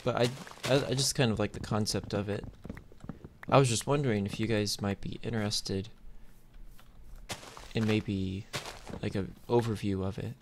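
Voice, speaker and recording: Chill guy doing lets play commentary, deep crackly voice, kinda hesitant, bit of hiss and keyboard clicking. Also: fairly even intonation, normal speed. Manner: laid-back, hesitant, thinking aloud. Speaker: gaming YouTuber. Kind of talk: casual gameplay commentary. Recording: noisy mic, clicks and typing.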